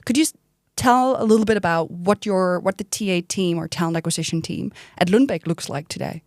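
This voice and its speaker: A woman's voice: lowish voice, fairly quick, darkish tone, dry recording, clean studio sound. A woman co-hosting an interview podcast who welcomes her guest in an upbeat way. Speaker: podcast co-host. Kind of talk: podcast hosting, scripted intro.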